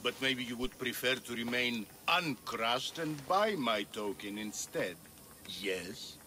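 An actor voicing a vain, boastful male warrior who brags in a slow, self-satisfied way. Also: medium pitch, expressive, sharp tone.

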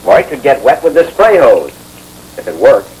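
An American actor playing a harried, polite telephone installer who speaks in a hesitant, placating, folksy way. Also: male voice, medium pitch, sing-song, moderate pace, a little mellow, slight background noise, lightly acted, low-quality recording. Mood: apologetic. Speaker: actor in a training film. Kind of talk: 1960s training film acting. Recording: old film soundtrack, music behind.

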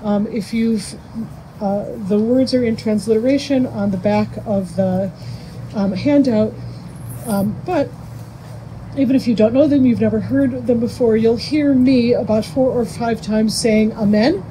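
A middle-aged American woman speaking ceremonially as an officiating rabbi, halting and pausing, into a stand microphone outdoors. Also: a little high, warm tone. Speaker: rabbi officiating a graveside funeral. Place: outdoors under a cemetery tent. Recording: muffled outdoor microphone with background noise.

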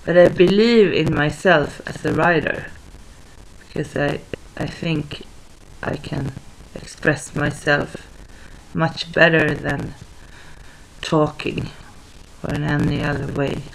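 Young woman vlogging from her living room; slow, halting, monotone, with a gravelly fry. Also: low-pitched, a little hiss or hum, poor audio. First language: Swedish. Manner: hesitant, halting, many fillers and restarts. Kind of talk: casual talk to camera. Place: small living room at home, indoors. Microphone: webcam at desk distance.

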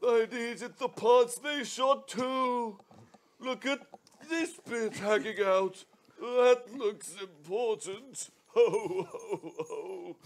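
A man's voice: very high-pitched, fairly bright tone, exaggerated voice. A man playing a drunk, smelly old Father Christmas in a live radio play, hamming it up with a theatrical manner. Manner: theatrical, hammy. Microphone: headset.

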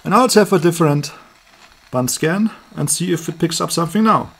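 A man with a slightly husky voice giving an unscripted hands-on electronics demonstration, explaining step by step as the presenter. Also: a little high, a little fast, a bit bright. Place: indoors at a table.

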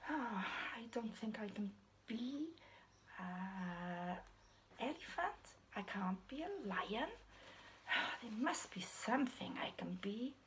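A woman's voice: mid-range voice, expressive, slow, a bit bright, slight background noise, low-quality recording. A woman voicing a restless young female squirrel in a puppet story, earnest and pleading. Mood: apologetic.

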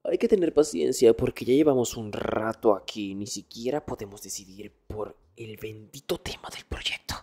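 Male actor as arrogant nerdy student, dark mellow voice, husky, hushed ASMR roleplay, often whispering. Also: on the low side, moderate pace, hardly any pauses, soft background music. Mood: exasperated. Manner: hushed, hesitant stammers, often dropping into whispers. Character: arrogant nerdy young male student, exasperated, teasingly dominant. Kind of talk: Spanish ASMR boyfriend roleplay, intimate one-sided dialogue.

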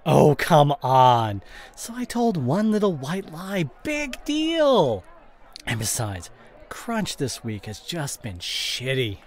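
A man's voice: fairly high pitch, wildly swooping pitch, slow pace, heavily acted. A man playing an evasive, defensive boyfriend with a drinking habit in an audio roleplay, speaking in a hesitant, one-sided conversation. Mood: tipsy, dismissive. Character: evasive drinking boyfriend, dismissive then guilt-stricken.

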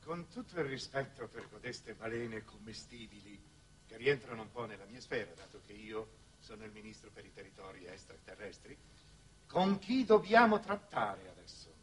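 An actor playing a fussy, pompous government minister in a 1960s Italian television drama. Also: male voice, fairly low pitch, lively intonation, moderate pace, lightly acted. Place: television studio set. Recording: distant, noisy old television soundtrack.

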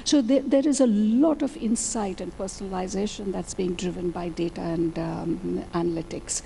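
A woman's voice: fairly low pitch, fairly even intonation, moderate pace, gravelly, dark tone, slight background noise. A woman in her forties speaking as a panelist on a conference stage, holding a handheld mic and explaining points fluently. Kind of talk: panel discussion.

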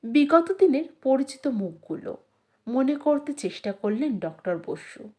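High-pitched, animated woman narrating a story. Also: measured pace. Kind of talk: dramatic literary story narration.

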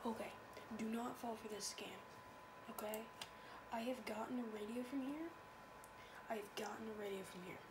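A woman's voice: on the high side, moderate pace, pauses often. A woman chatting casually and rambling, repeating phrases as a video blogger browsing at a home computer. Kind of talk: casual chat while browsing online. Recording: noisy amateur home recording.

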